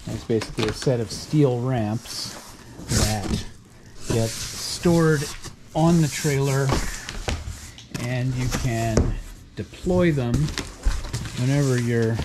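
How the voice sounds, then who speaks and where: A man casually narrating as he unboxes sawmill equipment in his garage, explaining each piece as he goes with relaxed fillers. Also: medium pitch, slow, long pauses, noisy background.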